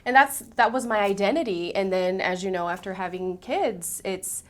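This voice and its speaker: A woman's voice: mid-range voice, moderate pace. Brazilian-born woman, earnest storyteller. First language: Portuguese. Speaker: guest, singer and speaker coach. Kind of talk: interview conversation.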